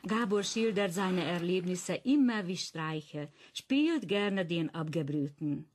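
An actress playing an older Holocaust survivor in a German radio crime drama, explaining deliberately with wry bitterness. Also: female voice, lowish voice, lively intonation, a little mellow, a little hiss or hum. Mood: wry, confiding.

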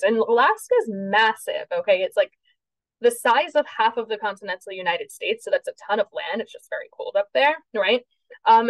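A woman's voice: medium pitch, dry recording, low-quality recording. A woman with a New Jersey accent giving a recorded history lecture from her basement, chatty and self-correcting, often checking in with "okay?". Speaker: history teacher. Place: home basement. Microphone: webcam.

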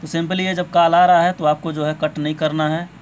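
A man with a slightly high voice walks viewers through phone settings step by step. His delivery is informal and repetitive, with some hesitation as he goes. It is an amateur recording with faint noise behind him. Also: little pitch movement, moderate pace. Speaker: tech tutorial host.

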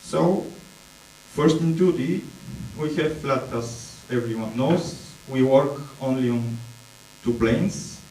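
A man with a Bulgarian accent speaks at an unhurried pace, filling gaps while he hunts for English words. His tone is dark and relaxed. The sound is distant and echoey, with a faint mains hum behind it. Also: medium pitch. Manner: hesitant, many fillers, searching for English words. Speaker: conference presenter. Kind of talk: slow conference talk with slides. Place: dark auditorium stage.

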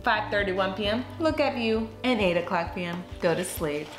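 A woman reading a children's storybook aloud in an expressive, dramatic way for young listeners. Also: medium pitch, moderate pace. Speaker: children's book read-aloud host. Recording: roomy echo with music behind. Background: music.

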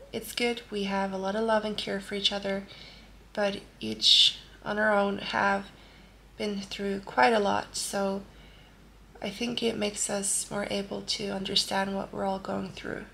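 Gravelly-voiced Norwegian woman talking from bed. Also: mid-range voice, slight background noise. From Norway. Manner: calm and gentle, lying in bed while talking. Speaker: vlogger answering viewer questions. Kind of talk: soft-spoken casual Q&A. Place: bedroom, lying in bed indoors. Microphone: camera close at face level.

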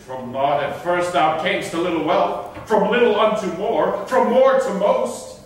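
A man's voice: high-pitched, a little slow. A man playing a stern, sanctimonious governor in a staged reading of old verse, declaiming pompously. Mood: sanctimonious scolding. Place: theatre stage in a hall. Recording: echoey hall, distant mic, faint noise.

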